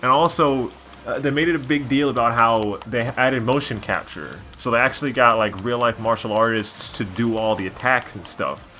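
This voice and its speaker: A man's voice: medium pitch, normal speed, sharp tone, a little hiss or hum. A man doing casual gameplay commentary as a co-host, rambling and opinionated like a devoted fan. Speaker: gaming video co-host.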